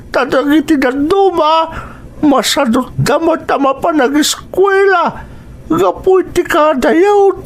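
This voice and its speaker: A man voices an old village grandfather for an audio drama, very high in pitch and very slow. He has a Filipino accent and a kindly manner. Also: full character voice.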